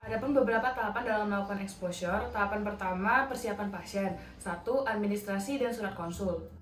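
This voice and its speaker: A woman's voice: medium pitch, fast talker, roomy sound, a little hiss or hum. A young woman, a dental student, demonstrating a radiography procedure and methodically working through numbered steps. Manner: polite, addresses patient in role-play. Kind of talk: instructional skills demonstration.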